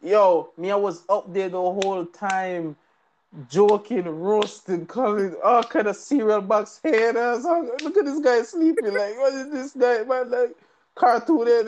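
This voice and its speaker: A man's voice: high voice, a little mellow, dull audio. A man telling a funny story in casual chat on a live audio show, laughing often. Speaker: co-host.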